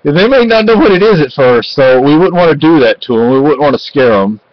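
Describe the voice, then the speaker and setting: A middle-aged man from Spain chatting casually at home in a halting, rambling way with lots of fillers, recorded close on a webcam. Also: a little high, animated, a crowd in the background. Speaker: vlogger. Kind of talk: casual home vlog chat. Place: cluttered home room with bookshelves. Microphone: webcam at close range. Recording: dull lo-fi webcam audio.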